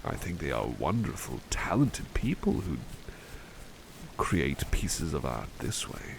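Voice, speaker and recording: Man acting as a slow, low-voiced, courteous butler. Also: sing-song, vocal fry, slight background noise, full character voice, rough recording. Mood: musing, modest. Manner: hesitant, musing, polite, formal wording. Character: relaxed, courteous, old-fashioned butler painting outdoors. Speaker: ASMR roleplay performer. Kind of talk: soft-spoken ASMR roleplay.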